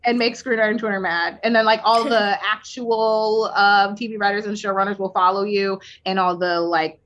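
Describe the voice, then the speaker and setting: A woman's voice: medium pitch, steady tone, normal speed, a bit bright, slight background noise. A woman TV writer talking candidly in a casual interview, with many fillers and a few laughs. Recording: dull lo-fi audio.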